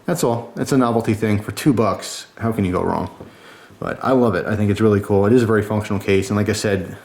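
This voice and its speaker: A man's voice: on the low side, steady tone, fast, creaky, darkish tone. A man giving a casual product review in a chatty, informal and enthusiastic way.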